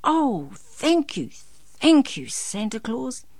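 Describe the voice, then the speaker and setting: A woman's voice: mid-range voice, very animated, normal speed, creaky, dry recording. A woman voicing an eager, polite little boy in a children's story. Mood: grateful. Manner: eager, wide-eyed.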